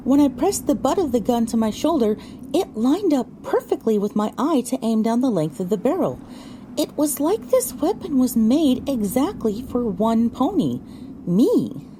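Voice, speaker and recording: A woman narrates a scripted story in a high, soft-toned, mellow voice. Her reading is lively and varied, with faint noise behind it. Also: moderate pace. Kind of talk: scripted audio drama narration, first person.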